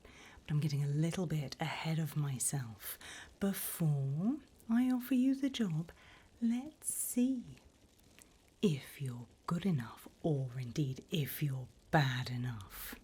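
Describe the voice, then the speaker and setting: A woman's voice: deep voice, takes it slowly, mellow, exaggerated voice. A woman voicing a sly, teasing demon job interviewer from hell in a whispered, mock-formal ASMR roleplay. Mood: sly, businesslike. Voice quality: breathy whisper.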